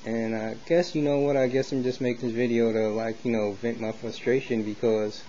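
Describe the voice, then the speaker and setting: A man's voice: medium pitch, flat delivery, normal speed, dark tone. An American man in a casual, rambling vlog monologue, speaking colloquially with street slang and often repeating himself as he circles back. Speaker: vlogger sharing opinions. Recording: dull lo-fi sound with faint noise.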